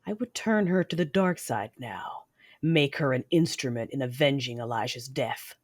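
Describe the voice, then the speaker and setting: A woman's voice: very deep voice, expressive, moderate pace. A woman playing a cold, vengeful female Sith lord in an audio drama, controlled and menacing as she narrates her deeds. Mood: cold menace. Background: music.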